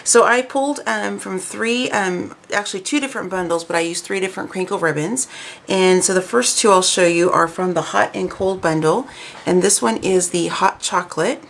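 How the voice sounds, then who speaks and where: A woman hosting a craft video in a chatty, casual way with lots of ums, her voice raspy and hoarse from a weekend of talking. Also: mid-range voice, moderate pace. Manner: chatty, many ums and restarts. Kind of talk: casual craft show-and-tell.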